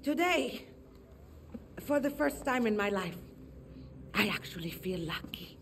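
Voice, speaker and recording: A woman playing a solemn, hopeful veteran revolutionary giving a measured, earnest speech to comrades in a video game. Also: medium pitch, sing-song, vocal fry, a bit bright, soft background music, heavily acted. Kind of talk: dramatic speech.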